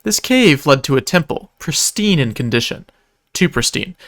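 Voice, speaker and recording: A man's voice: fairly high pitch, sing-song, moderate pace, fairly bright tone. A man narrating a scripted recap in a casual, wry way that slips into dramatic storytelling. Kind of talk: scripted narration, video recap of a roleplay event.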